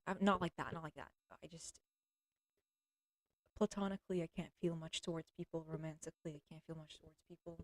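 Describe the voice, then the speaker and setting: Young woman rambling on stream, blunt and crude, keeps clearing her throaty voice, dead dry sound. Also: fairly low pitch, a little mellow, dry recording. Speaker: livestreamer. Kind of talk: casual rambling monologue. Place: at home with a cat.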